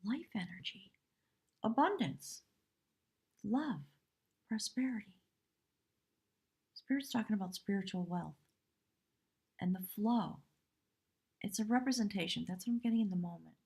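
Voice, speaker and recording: A woman with a hoarse voice giving a live spiritual tarot reading in measured, reverent short phrases. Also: mid-range voice, lively intonation, darkish tone. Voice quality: husky, breathy. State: hoarse from much talking. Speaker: tarot reader, live stream host.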